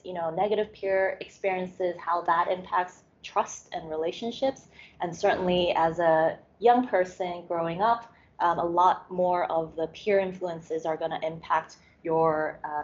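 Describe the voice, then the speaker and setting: Softly pitched woman lecturing, muffled audio. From Hong Kong. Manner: measured, many fillers and restarts. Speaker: academic speaker. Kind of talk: lecture talk with slides.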